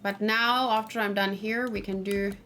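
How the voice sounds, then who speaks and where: Woman, game streamer, mid-pitched voice, casual thinking-aloud chat, muttered asides, faint noise with typing and clicking. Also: steady tone, normal speed, a bit bright. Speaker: gaming streamer, host. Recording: noisy, with keyboard typing and clicking. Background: background noise, computer keyboard and mouse clicks.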